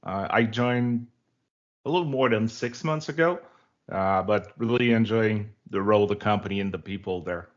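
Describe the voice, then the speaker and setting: A man's voice: on the low side, moderate pace, dull audio. A man in his forties from Quebec, a native French speaker with a Quebec French accent, giving chatty, informal interview answers. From Quebec, Canada. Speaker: interview guest, developer relations director.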